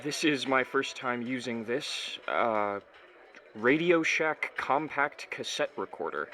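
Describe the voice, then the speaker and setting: A confused, earnest Gen Z boy in a family audio drama. His voice is bright and crisp-edged, with a hesitant, polite delivery. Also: mid-range voice, lively intonation, slow pace. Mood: curious, tentative.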